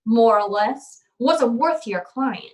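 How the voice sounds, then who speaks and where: A woman's voice: fairly high pitch, animated, a little slow. A woman playing a tough, wisecracking, unflappable private detective in an old-time radio drama, with clipped, slangy delivery. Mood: cool, sardonic.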